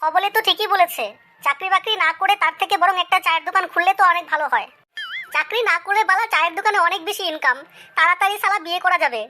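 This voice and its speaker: Cheeky schoolboy son for comedy cartoon, played by a woman: bright, quick, swaggering, exaggerated. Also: very high-pitched, slight background noise. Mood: scheming.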